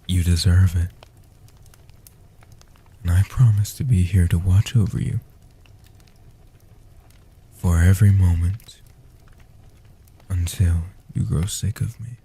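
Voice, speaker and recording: A man voicing a gentle old guardian vampire in a soft-spoken comfort roleplay, slow and soothing, with a husky, half-whispered delivery. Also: deep voice, full character voice. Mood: soothing, devoted.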